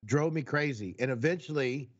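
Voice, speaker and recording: A man's voice: mid-range voice, moderate pace, slightly rough. Earnest man, slightly muffled recording. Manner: earnest, gives advice to listeners. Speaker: podcast co-host. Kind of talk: casual chat. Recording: slightly dull, lo-fi sound.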